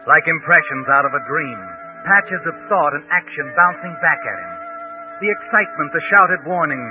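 An actor playing a mysterious, knowing night-walking narrator of dark tales in an ominous, dramatic 1940s radio style. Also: male voice, on the high side, natural voice. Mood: tense, dramatic. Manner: ominous, dramatic, deliberate delivery. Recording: old narrowband radio recording with music.

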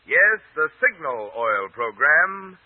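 A male announcer delivering an emphatic, salesmanlike 1940s radio introduction and sponsor pitch. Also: big pitch swings, takes it slowly, no echo. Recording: old narrowband radio recording, faint music.

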